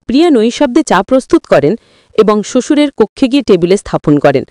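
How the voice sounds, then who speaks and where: A woman's voice: a little high, sing-song, a little fast, mellow, no room sound, studio quality. A woman narrating a scripted moral story in formal, literary wording, as a dramatic storyteller.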